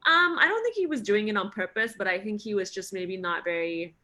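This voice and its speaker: A woman's voice: medium pitch, animated, fairly quick, pushed and bright. A woman actress being interviewed, talking casually and self-deprecatingly, with lots of fillers and restarts. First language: not Thai. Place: in Thailand.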